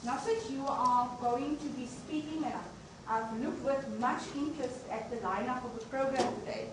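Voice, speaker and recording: A woman's voice: a little high, normal speed. A woman, a university deputy vice chancellor, giving an unscripted opening address without a microphone, earnest and emphatic, often restarting phrases. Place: lecture venue. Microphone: no microphone, speaking unamplified. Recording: echoey, distant room recording with noise.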